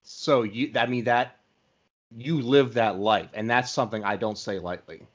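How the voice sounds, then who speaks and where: Earnest man hosting, medium pitch, muffled sound. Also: moderate pace. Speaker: podcast host. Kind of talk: casual conversational interview. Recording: dull, lo-fi voice recording.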